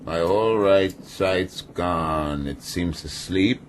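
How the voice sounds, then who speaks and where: An actor playing a brutal, sardonic ship's captain in a radio drama, weakened and half-paralyzed, speaking in a mocking, philosophical way. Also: male voice, low-pitched, takes it slowly, a little hiss or hum. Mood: bitter, resigned. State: ailing, weakened.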